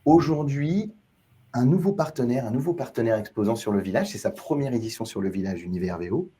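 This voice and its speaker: Male host, husky mellow tone, friendly interviewer. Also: mid-range voice, animated, brisk. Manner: friendly, promotional host patter with fillers. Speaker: podcast host. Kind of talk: trade-show podcast interview.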